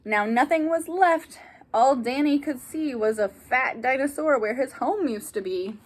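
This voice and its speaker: A woman with a high voice reads a children's story aloud at a slow, deliberate pace. She sounds like a teacher with a class, expressive and animated, speaking directly to her young listeners. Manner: animated, addresses young listeners with asides and questions. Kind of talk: expressive children's picture-book read-aloud.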